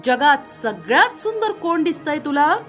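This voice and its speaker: A woman's voice: high-pitched, big pitch swings, moderate pace, soft background music, pitched above the performer's own voice. A woman voicing a vain, wicked storybook queen with a self-admiring, sing-song delivery. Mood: vain, preening. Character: vain wicked stepmother queen addressing magic mirror.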